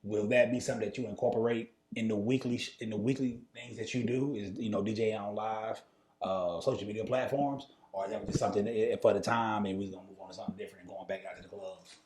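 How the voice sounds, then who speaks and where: A man's voice: on the low side, steady tone, fast, a little mellow. An American man hosting a casual interview, asking questions and giving short acknowledgements. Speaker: interviewer, host. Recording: echoey room with clear background noise.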